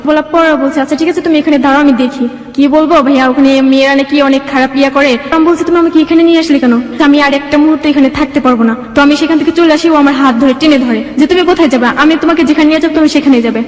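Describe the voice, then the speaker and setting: A woman's voice: sits high, steady tone, rapid-fire, hardly any pauses. A young Bangladeshi woman telling her own life story as an interview guest. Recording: echoey room with long reverb.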